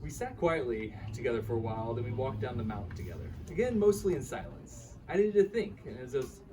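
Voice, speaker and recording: A man's voice: medium pitch, quick pace, crowd noise, natural voice. A man playing an earnest, bemused karate student telling his partner a story in a live radio play reading. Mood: reflective, earnest. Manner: animated, chuckling, quotes his teacher's dialogue. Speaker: reader in a staged radio play. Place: live reading at a bookshop event. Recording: distant microphone with faint room noise.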